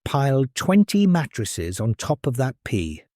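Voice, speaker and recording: A man voicing a kindly, shrewd queen mother in a fairy tale, with a warm, knowing manner. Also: mid-range voice, huge pitch swings, a little slow, no room sound, clean studio sound. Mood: sly, confident.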